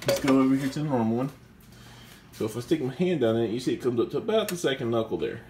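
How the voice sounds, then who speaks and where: A man casually reviewing a skillet while he cooks in his home kitchen, talking in a chatty, enthusiastic way with restarts and fillers. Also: medium pitch. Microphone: camera mic, speaker off screen. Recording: echoey kitchen with faint background noise.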